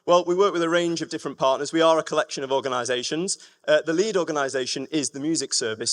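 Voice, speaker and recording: A man's voice: mid-range voice, a bit bright. A man with a Lancashire accent giving an upbeat, enthusiastic talk at a conference. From England. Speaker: conference speaker. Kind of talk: public talk. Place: conference room. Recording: reverberant hall.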